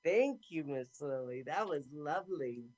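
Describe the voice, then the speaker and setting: A middle-aged woman hosting a talk show over a video call, with a deep, carrying voice, effusive and chatty. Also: lively intonation, unhurried, dull audio. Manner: effusive and warm, talks a lot. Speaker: host. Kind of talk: casual chat. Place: indoor room at home.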